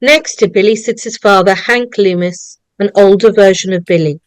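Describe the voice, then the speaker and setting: A woman reading scripted stage directions as narrator, deliberate and storytelling. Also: mid-range voice, no echo.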